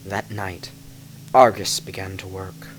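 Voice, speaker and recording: A man reading a dark tale as a dramatic narrator, with theatrical, deliberate emphasis and music behind him. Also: deep voice, flat delivery, low-quality recording. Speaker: narrator reading lore books.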